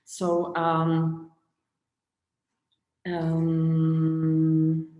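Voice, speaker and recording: A woman in her thirties with a Slovak accent, a curator giving an online talk, pausing often with "uh" as she searches for words. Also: sits low, monotone, phone-quality sound. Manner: halting, many "uh" fillers, searches for words. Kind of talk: online presentation. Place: room at home, indoors. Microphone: laptop webcam video call. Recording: echoey room with long reverb.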